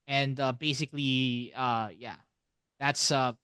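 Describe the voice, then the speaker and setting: A man co-hosting a casual podcast, explaining things haltingly and often circling back to repeat phrases. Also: mid-range voice, steady tone, bright, forceful tone, smooth, dry recording, studio quality. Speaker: podcast co-host.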